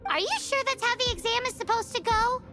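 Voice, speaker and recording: A tiny floating sprite from an anime game, chirpy and inquisitive. The voice is squeaky, childlike and extremely high, with music playing prominently behind it. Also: female voice, brisk. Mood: doubtful. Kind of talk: anime-style video game voice acting.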